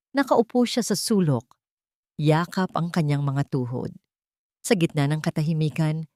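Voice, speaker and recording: A woman narrates a dramatic audio story in a low voice. The delivery is scripted storytelling, and the sound is close and dry. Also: mellow, no room sound.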